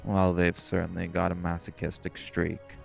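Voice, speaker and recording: A man's voice: sits low, completely flat delivery, moderate pace, creaky, faint music behind. A man playing a stern, protective shifter who leads a dive in an audio roleplay, speaking seriously and cautiously. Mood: exasperated. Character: stern, protective male marine shifter, bossy diving leader. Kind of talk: scripted audio roleplay acting.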